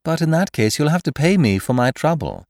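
A man voicing a triumphant, mocking gentleman thief in an audiobook, theatrical and commanding, firing off questions and taunts. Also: on the high side, big pitch swings, a little fast, no room sound, clean studio sound, pitched above the performer's own voice. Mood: taunting, impatient. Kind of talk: dramatised audiobook character voice.

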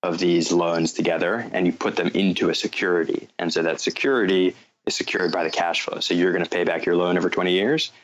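An American man answering interview questions and explaining a business, often pausing and repeating words as he picks his phrasing. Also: deep voice, lo-fi sound, a little hiss or hum. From North Carolina, United States. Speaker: guest, fintech company founder.

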